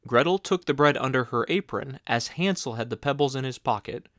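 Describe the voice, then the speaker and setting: A man narrating a classic fairy tale in a steady, clear storybook reading. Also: medium pitch, fairly quick, no room sound, studio quality. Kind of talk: fairy tale read-aloud.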